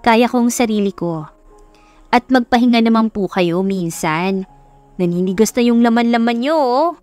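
A woman's voice: a little high, moderate pace, lightly acted. A woman voicing an affectionate, respectful young woman in her early twenties in a dramatized love-story audiobook. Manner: respectful, gently teasing. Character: eager, polite twenty-two-year-old woman, affectionate daughter and new tutor. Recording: music under the voice.

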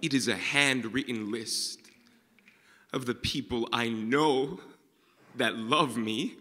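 An American man in his twenties performing spoken-word poetry, with deliberate, dramatic phrasing and pauses. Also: medium pitch, bright, forceful tone, big-room sound. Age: 20s. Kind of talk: performed slam poetry recital.

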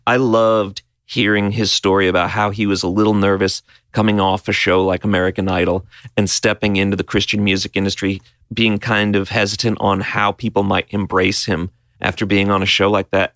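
A man's voice: lowish voice, fairly bright tone, dry recording, clean studio sound. A man hosting a music podcast backstage, upbeat and chatty, laughing often and asking long rambling questions. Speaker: podcast host. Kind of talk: casual backstage interview and recap. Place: backstage interview booth.

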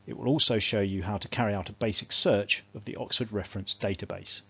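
A man reading a scripted instructional narration in a measured, step-by-step way, as an instructor. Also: on the low side, fairly even intonation, vocal fry, bright, forceful tone, breathy edge, dry recording, dull audio. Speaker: instructor, information librarian.

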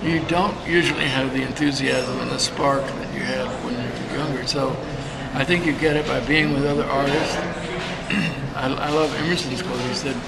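A man's voice: medium pitch, fairly even intonation, gravelly. Older man reminiscing in a noisy café. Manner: unhurried, reminiscing, frequent restarts and soft laughs. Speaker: photographer interviewee. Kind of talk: reflective casual interview. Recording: loud café background noise.

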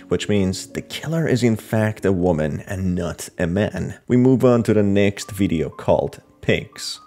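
A man's voice: deep voice, warm tone. A man narrating a scripted crime horror story recap.

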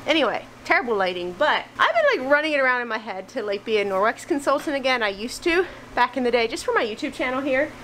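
A woman's voice: sits high, very animated, a bit bright, slight background noise, poor audio. A woman in her thirties vlogging as she cleans a small church, talking candidly and a little hurriedly into a handheld camera. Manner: chatty, candid, a bit hurried. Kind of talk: casual vlog narration while cleaning. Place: small country church, indoors and on the porch. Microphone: handheld camera at arm's length.

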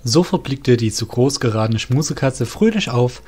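A man's voice: mid-range voice, expressive, moderate pace, darkish tone, breathy edge. A man narrating a scripted fantasy audio drama in a literary storytelling manner.

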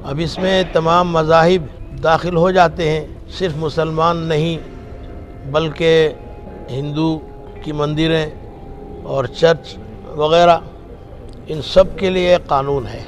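Older cleric, slightly high voice. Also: male voice, steady tone. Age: 60s. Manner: measured, halting phrases with formal religious vocabulary. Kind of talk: formal public statement. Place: indoor meeting room with others seated. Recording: faint room noise behind the voice.

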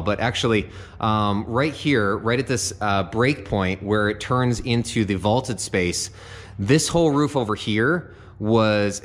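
A man giving a casual walkthrough of an unfinished house, speaking conversationally with many fillers and frequent "okay?" check-ins. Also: on the low side. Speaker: home sales guide. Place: unfinished house interior, open framing.

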